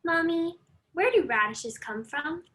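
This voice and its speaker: A very high, bright little child cub acted by a woman, curious and innocent in a comic play. The speech is simple and childlike, over noticeable background noise. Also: expressive, a little slow, heavily acted. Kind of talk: stage comedy acting.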